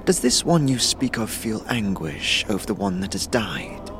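A man playing a solemn, detached mourner of a death faction in a fantasy audio drama, speaking gravely and formally. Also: on the low side, very animated, normal speed. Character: solemn Dustman mourner who renounces all emotion. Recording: background music under the voice.

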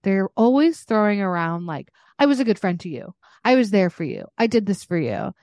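A woman's voice: medium pitch, sing-song, a little fast, darkish tone, no echo. A woman co-hosting a podcast in casual chat, talking in a chatty, joking, self-deprecating way. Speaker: podcast co-host.

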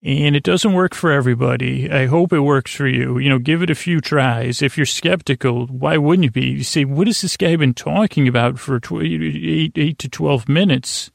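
A man with a creaky voice, rambling through a bedtime podcast monologue in a halting, stammering way, full of false starts. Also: fairly high pitch, no echo, clean studio sound. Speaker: podcast host.

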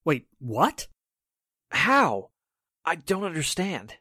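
A woman acts out a distraught, disbelieving grown child in an old-style radio melodrama. The voice is husky and exclamatory, leaping widely in pitch at a slow pace. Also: sing-song, bright, forceful tone, dry recording, studio quality, exaggerated voice. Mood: shocked. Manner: emotional, exclamatory. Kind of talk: radio drama acting in 1940s style.

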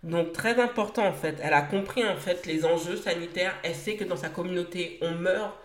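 A woman's voice: fairly low pitch, fairly quick, reverberant. A woman from France hosting a solo podcast, speaking earnestly in short emphatic phrases with the odd hesitation. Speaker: podcast host.

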